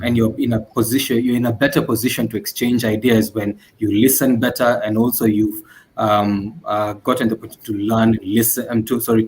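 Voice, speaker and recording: A man answers as a panel guest over faint ambient noise. His voice is flat and a little low, his talk reflective and measured with some hesitation. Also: rough recording.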